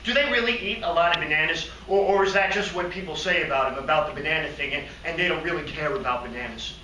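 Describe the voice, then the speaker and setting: Naive daydreaming hotel receptionist for a comic stage monologue, male performer: high-pitched, very sharp voice, halting and rambling. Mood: wistful, musing. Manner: halting, rambling, hesitant then excitable. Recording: roomy, distant mic, faint noise.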